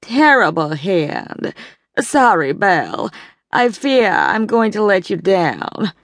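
A woman voicing a prim, judgmental middle-aged society lady with a slow, disapproving Boston drawl. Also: mid-range voice, very animated, dry recording. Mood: apologetic.

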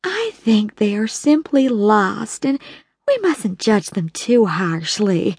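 A woman voicing a wistful middle-aged bridge-playing lady who talks in a slow, lamenting way. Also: mid-range voice, very animated, vocal fry, a little mellow, husky, no room sound, clean studio sound, pitched above the performer's own voice, heavily acted.

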